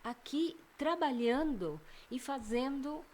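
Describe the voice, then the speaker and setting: A woman's voice: high voice, animated, slow pace, a little mellow. Older Argentine woman, thoughtful speaker. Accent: Argentine Spanish. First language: Spanish. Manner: thoughtful, searching for words, frequent restarts. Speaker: guest, psychologist. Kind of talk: casual interview chat.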